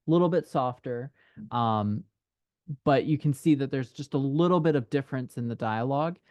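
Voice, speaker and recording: A man speaks at a middling pitch in a close, dry recording. He coaches a writer in casual conversation, feeling his way through each point with halting, hedged phrasing as he thinks aloud. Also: a little mellow, no echo. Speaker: dialogue writing coach.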